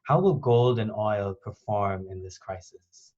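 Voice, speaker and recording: A man's voice: on the low side, unhurried, a little mellow. A man moderating a panel discussion as host of a conference call. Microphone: conference call line. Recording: dull, band-limited conference call line.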